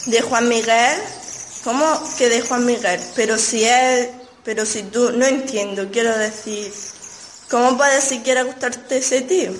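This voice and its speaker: Teenage girl, played by a young woman, fairly high voice, lovestruck, conflicted, secretive, amateur radio soap. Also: fairly even intonation, unhurried, slight background noise, natural voice, poor audio. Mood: flustered denial. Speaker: student radio drama actor. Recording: amateur school radio play recording.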